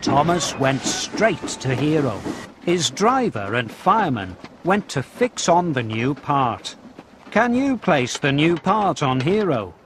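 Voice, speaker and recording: A man narrating a children's storybook game in a deliberate, expressive storytelling style, as scripted narration. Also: fairly high pitch, slight background noise. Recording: music playing behind the voice. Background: background music.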